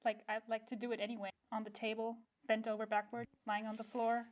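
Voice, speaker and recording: A woman doing casual improvised comic role-play with a deadpan, bawdy manner. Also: a little high, quick pace. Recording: dull, lo-fi podcast sound.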